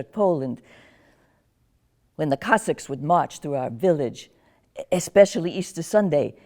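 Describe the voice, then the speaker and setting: A woman's voice: on the low side, a little mellow, lightly acted. Lady doing an old granny in a nursing home, teasing, chatty, voice goes up and down a lot. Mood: confused, haunted. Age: older. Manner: gossipy, teasing, drifting into confusion. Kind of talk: one-woman stage monologue.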